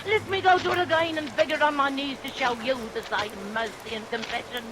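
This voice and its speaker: A man's voice: very high-pitched, fairly even intonation, brisk. An actor playing a repentant, pleading woman, a villain's accomplice, in vintage radio melodrama style. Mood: pleading remorse. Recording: old radio recording, clear rain noise.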